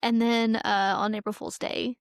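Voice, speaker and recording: A woman's voice: medium pitch, little pitch movement, moderate pace, gravelly, clear, resonant tone, no echo, clean studio sound. A woman co-hosting a podcast, chatting casually and informally about TV, with plenty of "like" in her speech. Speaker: podcast co-host. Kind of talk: casual TV-review chat.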